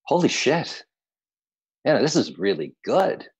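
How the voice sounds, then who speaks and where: A man in his thirties with a husky voice playing a friendly, flattering professional over an earbud mic on a video call. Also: medium pitch, a little slow, full character voice. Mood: impressed. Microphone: earbud headset on a video call.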